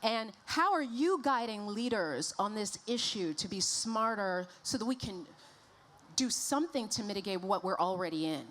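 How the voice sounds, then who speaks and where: A woman moderating a conference panel, talking to the crowd in an energetic, emphatic way. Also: mid-range voice, normal speed, slight background noise. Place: stage at an advertising festival.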